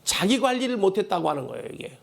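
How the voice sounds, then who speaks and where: A man preaching a church sermon in a hoarse, worn voice, emphatically exhorting and repeating key phrases. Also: high voice, big pitch swings, fast talker. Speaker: pastor preaching a Sunday sermon. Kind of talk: Korean church sermon with call-and-response prompts. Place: church service.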